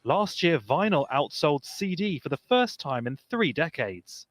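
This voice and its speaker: A man's voice: on the high side, big pitch swings, measured pace, no room sound. A man narrating a scripted news report as a reporter.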